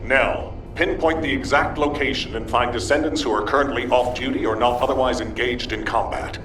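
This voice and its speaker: An actor playing a serious, authoritative military commander in a video game, firm and formal. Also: male voice, medium pitch, steady tone, a little fast, noisy background, close to an everyday voice. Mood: serious, commanding. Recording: roomy, distant, music behind.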